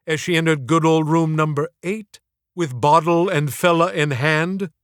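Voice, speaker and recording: A man reads a comic audiobook in a fairly high-set voice. His delivery is theatrical and wry, with mock-formal phrasing. The sound is very dry, free of room reflections. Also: a bit bright, dry recording. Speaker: audiobook narrator and author. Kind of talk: comic scripted storytelling narration.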